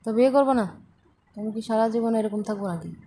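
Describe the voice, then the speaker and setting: A woman's voice: fairly high pitch, quick pace, warm tone, slight background noise. A young woman chats casually and bluntly, with a teasing manner, on a phone call recording. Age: young adult.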